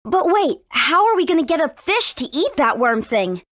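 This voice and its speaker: A woman voicing a spirited young adventurer girl in a video game, excitable, direct and full of questions. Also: high-pitched, moderate pace, no echo, clean studio sound. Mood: doubtful.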